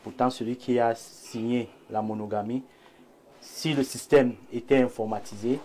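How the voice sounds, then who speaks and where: A man with a Cameroonian accent gives analytical commentary as a panelist, measured and formal in his wording. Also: medium pitch, steady tone, mellow. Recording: clear background noise.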